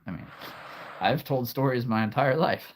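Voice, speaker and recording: A man hosting a live stream in a relaxed, chatty way, with a very deep, soothing storyteller voice and frequent throat clearing from allergies. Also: normal speed, slight background noise, rough recording. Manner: soothing, calm storyteller delivery; rambling, with frequent restarts and soft laughs. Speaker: livestream host. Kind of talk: casual live-stream chat.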